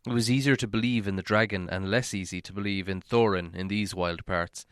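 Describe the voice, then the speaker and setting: Smooth-voiced male storybook narrator. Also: fairly low pitch, fairly even intonation, brisk, a bit bright, no room sound, clean studio sound. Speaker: audiobook reader.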